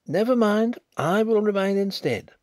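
A man's voice: sits high, animated, normal speed, dry recording, clean studio sound, pitched above the performer's own voice. A man voicing a complacent, pompous middle-aged private inquiry agent in a story reading.

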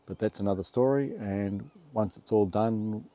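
A man's voice: on the low side, normal speed, dark tone. A man in his fifties with an Australian accent, explaining things casually and matter-of-factly in a vlog, with some hesitation. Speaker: off-road camping vlogger. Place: inside a parked truck cab in the bush.

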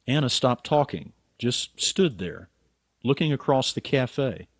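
An actor playing an earnest, stubborn writer in a radio drama, plain-spoken and terse. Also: male voice, mid-range voice, lively intonation, slow. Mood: grave narration. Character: earnest, stubborn American writer, narrating his own story. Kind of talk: radio drama acting and first-person narration.

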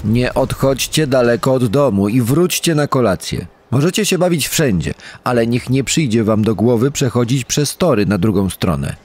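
A stern, protective father played by a man in a podcast dramatization. His voice is medium in pitch and very lively in melody as he admonishes. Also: normal speed, slightly rough. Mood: stern warning. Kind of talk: dramatized true-crime podcast acting.

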